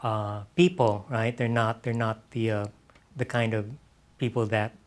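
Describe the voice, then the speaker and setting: A man from the Philippines answering as a novelist on a discussion panel, halting and thoughtful, often repeating words as he reflects. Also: lowish voice, flat delivery. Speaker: novelist being interviewed on a panel.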